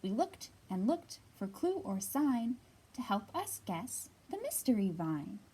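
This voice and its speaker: A woman librarian giving a storytime reading for young children, sing-song and expressive, pausing to ask the little listeners questions. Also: mid-range voice, husky.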